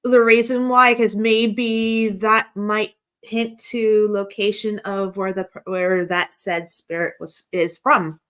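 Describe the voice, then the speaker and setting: A woman's voice: mid-range voice, fairly even intonation, slow, fairly bright tone. An American woman from the United States hosting a casual chat, asking probing questions and laughing often. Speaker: host.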